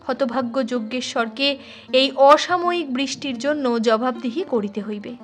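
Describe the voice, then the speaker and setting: A woman reading a literary story in an expressive, deliberate way, as a storyteller. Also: high voice. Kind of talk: scripted literary story narration. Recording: music under the voice. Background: instrumental music.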